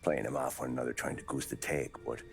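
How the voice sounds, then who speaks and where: A very deep-voiced, hard-boiled detective. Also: male voice, steady tone, quick pace, gravelly, a little hiss or hum. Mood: probing, measured. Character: probing, seasoned 1930s police detective. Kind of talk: dramatic video game acting.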